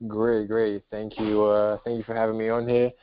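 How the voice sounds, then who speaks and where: Guy in his 20s on a call, lowish flat voice, pauses a bit, muffled sound. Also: clean tone, dry recording. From Manchester, England. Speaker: company founder. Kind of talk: personal introduction on a call. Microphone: remote call. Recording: dull, lo-fi conference call audio.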